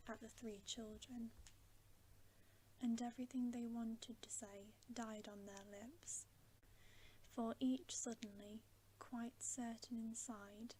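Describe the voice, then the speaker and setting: Slow, hushed woman whispering a bedtime story. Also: fairly high pitch, slight background noise, rough recording. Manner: hushed, soothing, unhurried. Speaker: ASMR narrator.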